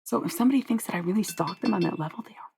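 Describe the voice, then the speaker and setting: A woman's voice: medium pitch, racing, gravelly, a little mellow, a little hiss or hum. A young woman livestreaming, chatting with viewers in a rambling, dreamy way and swearing freely. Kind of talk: casual livestream chat with viewers.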